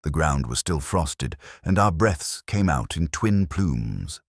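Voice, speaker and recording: Very deep-voiced brooding detective played by a man. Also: very animated, creaky, husky, no echo, clean studio sound, heavily acted. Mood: brooding, reflective. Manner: measured, literary, melancholy. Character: brooding, erudite male police detective narrating his case. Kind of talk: scripted first-person mystery narration.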